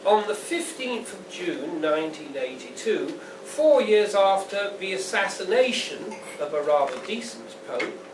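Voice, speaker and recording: A man giving a live poetry reading as the poet, with a measured, deliberate, declamatory delivery. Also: high voice, very animated. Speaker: poet reading own work. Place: indoor venue at a launch reading. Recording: roomy, distant, noisy live event recording.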